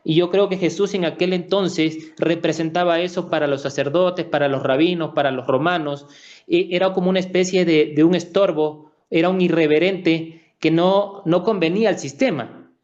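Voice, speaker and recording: A man's voice: a little high, fairly even intonation, normal speed, a little mellow, lo-fi sound. A man interviewing conversationally, polite and appreciative, building up to his questions at length.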